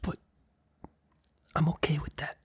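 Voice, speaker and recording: A man playing a guarded, earnest mob boss boyfriend in an intimate ASMR roleplay, speaking in a hesitant, breathy, husky whisper. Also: unhurried, darkish tone, lightly acted. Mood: resigned, earnest. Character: guarded, apologetic young male mob boss, boyfriend confessing.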